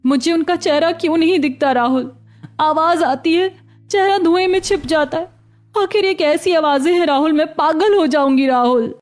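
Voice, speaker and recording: A woman voicing an expressive young daughter-in-law in a cartoon story, a former beggar who is fretful yet sharp-tongued. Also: high-pitched, moderate pace, close to an everyday voice. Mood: anxious, distressed. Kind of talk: Hindi cartoon story voice acting.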